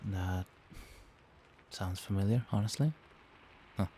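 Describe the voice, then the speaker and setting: A man playing a doting, protective vampire boyfriend in a soft-spoken, soothing and attentive ASMR roleplay. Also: sits low, steady tone, slow, a little hiss or hum. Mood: tender, concerned. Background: rain.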